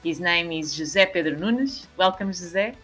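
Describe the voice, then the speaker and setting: A woman hosting an interview podcast with a Portuguese accent. Her voice is a touch low yet bright and forward, in an introducing, presenting style, with music faintly behind her. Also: lively intonation, moderate pace, husky. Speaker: podcast host.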